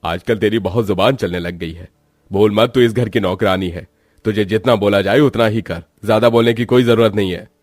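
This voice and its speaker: A man's voice: lowish voice, a little fast, darkish tone, dry recording, studio quality, close to an everyday voice. A man voicing an arrogant, dismissive rich father who talks scornfully and bossily in a cartoon story. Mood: curt, bossy. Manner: scornful, bossy.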